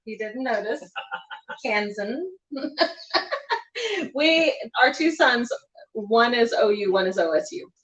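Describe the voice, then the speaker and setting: A woman's voice: fairly high pitch, lively intonation, takes it slowly, pauses often, a bit bright. A woman co-hosting a casual home livestream, laughing often as she reads viewer comments aloud. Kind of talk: casual chat. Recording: echoey room, slightly dull sound.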